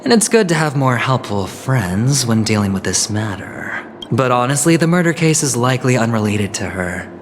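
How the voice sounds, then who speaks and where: A man plays a smooth young male gambler in a video game, sly and calculating, his voice rising and falling freely. He talks over steady game music. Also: mid-range voice, natural voice. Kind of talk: game voice acting. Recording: game audio with music behind.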